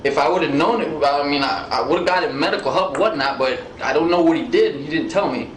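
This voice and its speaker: A man's voice: a little high, fast. An American man in his thirties answering questions in a police interview, talking informally and rambling, with some swearing. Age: 30s. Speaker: suspect being questioned by police. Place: indoor police interview room. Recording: echoey room, noisy interrogation audio.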